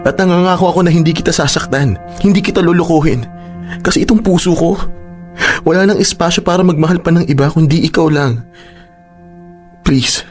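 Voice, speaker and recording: A man's voice: fairly high pitch, a little fast, long pauses, a little mellow, faint music behind, lightly acted. A man with a Filipino accent acting a persistent, emotional young man in love in a radio drama. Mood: pleading. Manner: teasing, insistent, emotional.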